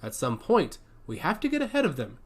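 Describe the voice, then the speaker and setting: Casting: man as gentle older dad in a fantasy tale, solemn, encouraging, very animated intonation. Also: a little high, a little fast, breathy edge, full character voice. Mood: solemn, tender. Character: older father, gentle, solemn leader fleeing enemies.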